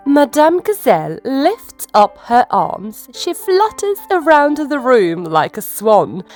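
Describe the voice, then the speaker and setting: A woman reading a children's storybook aloud in a gentle, sing-song storytelling manner. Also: sits high, very animated, slow pace. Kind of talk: storybook read-aloud for kids. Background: soft piano music.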